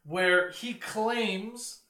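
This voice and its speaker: A man's voice: high-pitched, extremely slow, reverberant, a loud crowd. A man hosting a casual podcast, telling stories and posing questions in a teasing, emphatic way. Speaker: podcast host asking questions. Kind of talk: casual banter and storytelling.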